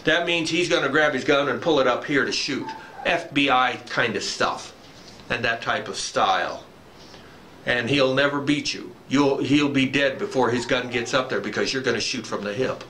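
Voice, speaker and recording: A man's voice: medium pitch, moderate pace, sharp tone. An older man giving an informal instructional talk to camera as a firearms instructor, explaining step by step and repeating key points. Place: dim wooden barn or shed, indoors. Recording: echoey room, faint background noise.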